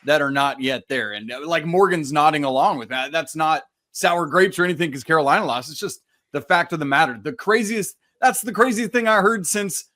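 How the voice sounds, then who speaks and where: Argumentative guy co-hosting a sports pod, slightly high voice. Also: brisk, hardly any pauses, sharp tone. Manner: emphatic, argumentative. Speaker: podcast co-host. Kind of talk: casual sports debate.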